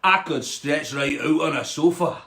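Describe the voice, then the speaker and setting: A man's voice: fairly high pitch, a little slow, sharp tone. A man voicing a reluctant, complaining storybook frog with a Scottish accent and a plaintive, questioning manner. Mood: reluctant, pleading.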